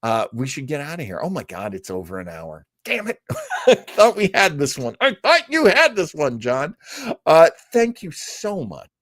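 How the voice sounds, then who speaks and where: A man's voice: fairly high pitch, huge pitch swings, moderate pace, fairly bright tone, no room sound, clean studio sound. A man hosting a live-streamed show, chatting informally with viewers in a rambling way full of asides. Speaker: host of a live-streamed radio nostalgia show. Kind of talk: casual chat, reading out and answering live viewer comments.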